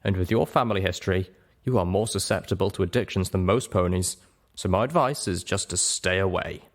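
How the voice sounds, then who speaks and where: Man as a low-voiced, calm pony doctor. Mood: grave, advising. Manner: measured, matter-of-fact, patient. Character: calm, frank pony doctor giving grave medical advice. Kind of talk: dramatized audiobook character voice.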